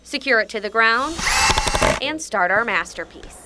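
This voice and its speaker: A woman's voice: a little high, expressive, measured pace. A young woman with an American accent, reading scripted narration for a TV news feature. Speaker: TV news reporter. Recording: loud workshop noise under narration.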